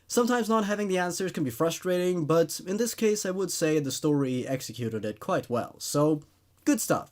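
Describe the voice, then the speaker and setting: A man hosting a story show, commenting casually in a laid-back, conversational way. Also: high-pitched. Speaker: host reading and reviewing horror stories.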